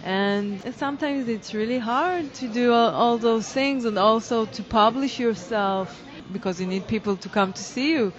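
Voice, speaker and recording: A woman, a dance teacher and choreographer, answering interview questions in halting English as she searches for words. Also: a little high, lo-fi sound. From Israel.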